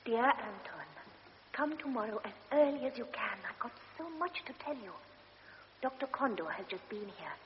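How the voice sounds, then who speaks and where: A woman's voice: a little high, lively intonation, moderate pace, lightly acted. An actress playing a hopeful young woman who speaks eagerly in a radio drama. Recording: dull radio recording with long reverb and faint hiss.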